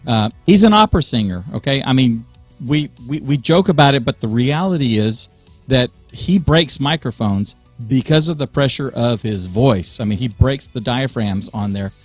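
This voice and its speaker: A man co-hosting a casual podcast chat about church media, explaining sound setups through everyday church examples. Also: medium pitch.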